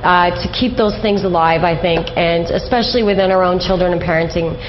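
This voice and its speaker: A woman's voice: fairly low pitch, fairly quick. A woman hosting a radio interview in a casual, conversational style. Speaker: radio show host. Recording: dull lo-fi sound with background hiss.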